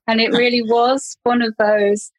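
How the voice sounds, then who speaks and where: A woman's voice: on the high side, flat delivery, a little slow, no room sound, low-quality recording. A middle-aged Englishwoman chatting as a podcast guest, laughing often and trailing off with fillers and restarts. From England. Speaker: podcast guest, marketing consultant. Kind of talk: casual interview chat.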